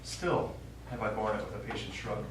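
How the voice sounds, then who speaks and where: A man's voice: fairly low pitch, lively intonation, moderate pace, natural voice. In an echoey theatre, heard from far off, a man plays a stubborn old widower on stage. He is a veteran and retired teacher, given to reminiscing and reciting. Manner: reminiscing, quotes speeches and verse. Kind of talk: live stage play acting. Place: small indoor theatre stage. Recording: echoey theatre, distant mic, faint noise.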